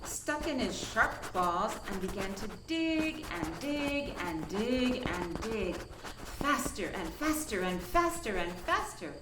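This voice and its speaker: A woman storyteller reading a children's picture book expressively, with dramatic pauses and emphasis. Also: on the high side, very animated, echoey room, distant mic, noisy background. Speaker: storyteller from a science museum.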